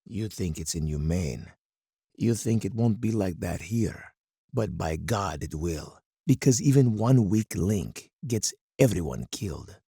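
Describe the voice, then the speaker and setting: Hardened military commander, game character, dark and husky timbre, close dry sound, stern, deliberate, menacing oratory. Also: male voice, deep voice, animated, no echo, full character voice. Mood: scornful, stern. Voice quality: gravelly, husky. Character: hard, commanding older male military colonel, menacing strategist. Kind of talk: dramatic scripted game character monologue.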